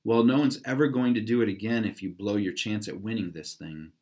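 A man's voice: on the low side, a little fast, a little mellow, close to an everyday voice. A man voicing a bossy, impatient young girl in a children's storybook.

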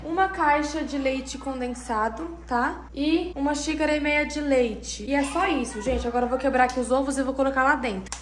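A woman's voice: sits high, moderate pace, hardly any pauses, reverberant. A young woman from Brazil chatting casually to viewers while she cooks in a small home kitchen, rambling and often turning to the camera. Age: young adult. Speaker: vlogger. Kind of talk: casual chatty cooking vlog. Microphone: phone camera held close.

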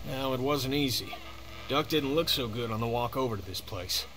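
A man's voice: mid-range voice, lively intonation, normal speed, noisy background, close to an everyday voice. An actor playing a stressed, blunt father in a survival video game, weary and insistent. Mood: weary, concerned.

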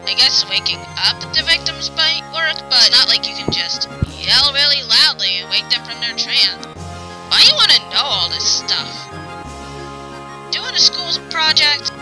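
A woman's voice: high-pitched, very animated, unhurried, pitched above the performer's own voice, rough recording. A woman voicing a casual, knowledgeable demon big sister explaining things conversationally in a comic dub. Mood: offhand, explaining. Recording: loud ambient music underneath.

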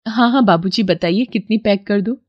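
A woman voicing a young, hardworking mother who makes and sells bangles in an animated story. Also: medium pitch, brisk, highs cut off, lightly acted. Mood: earnest.

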